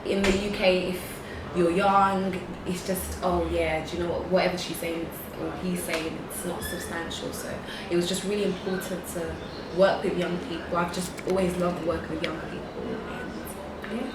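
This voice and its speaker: London woman chatting in an interview, pretty even tone, mic far off, noisy behind her. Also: fairly low pitch, normal speed, few pauses. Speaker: interviewee, youth organisation founder and teacher. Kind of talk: conversational interview answers. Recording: echoey, distant mic, noisy background.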